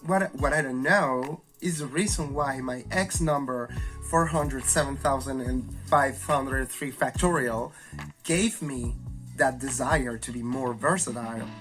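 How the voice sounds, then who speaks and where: A man with a raspy, flu-hoarse voice hosts a candid, thoughtful educational monologue. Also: mid-range voice, fairly bright tone, soft background music, poor audio. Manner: thoughtful, candid, slow and searching. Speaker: video host.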